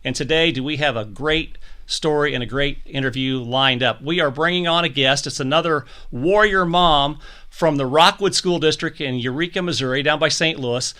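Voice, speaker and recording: A man hosting a talk podcast with a bright, forceful tone and a slightly high pitch. He is eager and chatty, stumbling and restarting his sentences as he sets up the guest. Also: normal speed. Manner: enthusiastic, with frequent restarts and stumbles. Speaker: podcast host. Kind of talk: conversational interview hosting.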